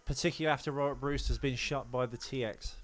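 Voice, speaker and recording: A man's voice: medium pitch, steady tone, fast talker. A man co-hosting a podcast, chatting casually about a film and explaining details as he goes. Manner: explanatory, reading out film continuity errors. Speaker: podcast co-host. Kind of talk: casual film-commentary chat.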